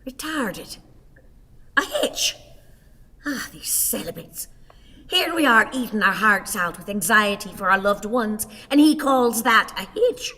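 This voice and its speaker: An actress playing a querulous, sickly old wife, declaiming with grand indignation and dramatic pauses in a radio play. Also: female voice, mid-range voice, animated, pushed and bright. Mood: indignant. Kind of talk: stage radio play acting. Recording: long hall reverb, theatre sound.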